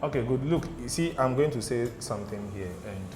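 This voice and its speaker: A man's voice: mid-range voice, fairly quick, noisy background. A Ghanaian man chatting as an interview guest, telling stories and checking in often with "okay?" and "do you understand?". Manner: animated storyteller, repeats "okay?" and "do you understand?". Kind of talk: casual studio interview. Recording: echoey room with music behind.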